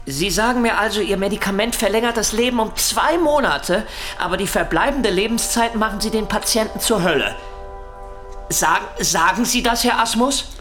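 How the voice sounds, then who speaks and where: A man's voice: high-pitched, normal speed, a bit bright, soft background music. An actor playing a masked captor who questions his prisoner in a probing, mocking, insistent way in a German audio drama. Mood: scornful, heated.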